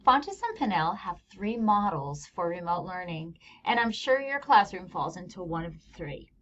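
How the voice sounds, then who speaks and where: A woman's voice: lowish voice, very animated, normal speed. A woman with an American accent presents scripted instructional narration as a teacher trainer, explaining things plainly with informal touches. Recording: dull lo-fi voice-over recording.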